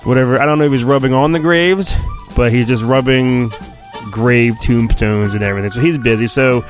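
A man's voice: medium pitch, moderate pace, soft background music. A middle-aged man hosting a solo podcast, chatting casually and reading aloud with a joking, self-deprecating manner. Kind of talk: casual rambling solo podcast talk with mock dramatic storybook reading.

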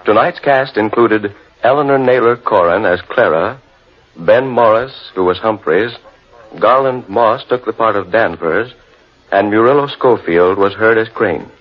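A man announcing formally and in measured phrases in the manner of 1940s radio. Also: on the low side, breathy edge. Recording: muffled old radio broadcast.

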